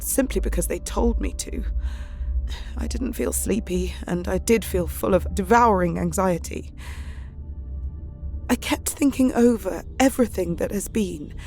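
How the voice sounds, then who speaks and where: A woman's voice: fairly high pitch, a little mellow. An actress playing an anxious, devoted young Victorian wife, reading her journal in a wistful, emotional way for an audio drama.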